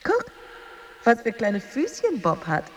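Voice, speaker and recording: A woman's voice: fairly high pitch, wildly swooping pitch, slow, full character voice. An actress playing a chatty, condescending married woman needling a lonely rival in a German radio drama, gushing and giggly.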